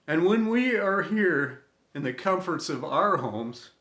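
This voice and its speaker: Slow, earnest American man, echoey room. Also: high voice, lively intonation, fairly bright tone. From United States. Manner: earnest, reverent, deliberate phrasing with dramatic pauses. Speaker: storyteller reading own inspirational tribute. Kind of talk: scripted inspirational spoken tribute.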